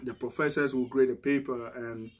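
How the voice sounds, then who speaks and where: A man's voice: medium pitch, steady tone, normal speed. A middle-aged man from Ghana with a Ghanaian accent, talking casually to camera as a vlogger, often repeating words and tagging "right?" onto his sentences. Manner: conversational, frequent restarts and "right?" tag questions. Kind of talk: casual advice monologue.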